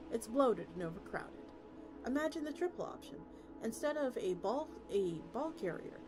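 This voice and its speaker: A woman streamer reading a story aloud expressively, sometimes stumbling over words and restarting lines. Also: on the high side. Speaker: VTuber streamer. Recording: music playing under the voice. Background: music.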